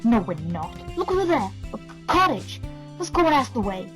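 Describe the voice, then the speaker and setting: A woman's voice: a little high, very animated, normal speed, fairly bright tone, faint music behind. A woman voicing a bouncy, impatient boy puppet in a children's puppet play. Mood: upbeat.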